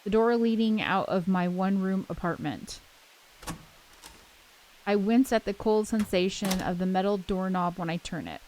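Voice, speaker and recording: A woman's voice: mid-range voice, normal speed, a little mellow, a little hiss or hum, rough recording. A woman hosting a let's play, switching between casual chat and reading a visual novel's text aloud. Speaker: host of a gaming channel. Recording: music playing behind the voice. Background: game soundtrack music.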